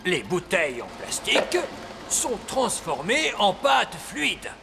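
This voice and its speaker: A man's voice: high voice, huge pitch swings, slow, creaky, noisy background, exaggerated voice. A man voicing a proud, wise old elf in a French children's cartoon dub, explaining things in a self-important, didactic way.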